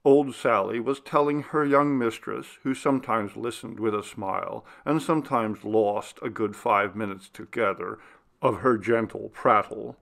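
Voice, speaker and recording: A man narrating a classic gothic story as an audiobook, in a measured, expressive literary manner. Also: lowish voice, vocal fry.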